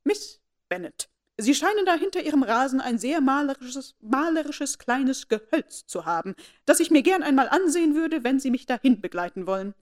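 A woman's voice: sits high, sing-song, normal speed, voice pushed up. A woman voicing a haughty, imperious elderly noblewoman who speaks in a commanding, formal and indignant way.

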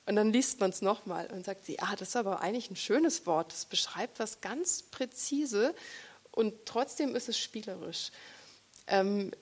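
A woman interviewing an author at a book reading, talking thoughtfully and pausing often to search for words. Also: mid-range voice, slightly rough. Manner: reflective, searching for words with many pauses.

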